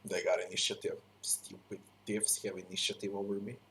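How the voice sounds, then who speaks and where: A man's voice: deep voice, little pitch movement, brisk, vocal fry, a bit bright, a little hiss or hum. A man giving casual commentary while playing a video game, musing aloud to himself. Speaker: gamer. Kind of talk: let's play commentary.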